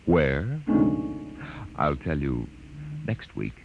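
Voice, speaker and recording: Male host for vintage suspense radio, deep and dramatic with wide swings, ominous, loud music behind. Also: sing-song, very slow, vocal fry. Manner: ominous, portentous. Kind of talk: dramatic 1950s radio narration. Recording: dull 1950s radio recording. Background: loud piano music.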